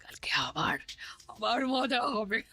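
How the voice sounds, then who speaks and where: A devious impostor queen. She mutters slowly and conspiratorially in a husky, breathy voice that still has a sharp, lively edge. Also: medium pitch, full character voice. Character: devious impostor queen, secretly plotting, sinister woman. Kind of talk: cartoon voice acting.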